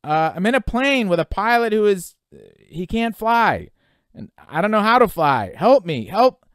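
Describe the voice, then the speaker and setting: A frightened boy acted out by a man calls out desperately in an audiobook. The voice is pushed high and loud, halting and urgent, in a dry, close recording. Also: very animated, dry recording. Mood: frantic. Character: terrified boy in a failing plane, desperate, pleading. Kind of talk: dramatic audiobook character voice.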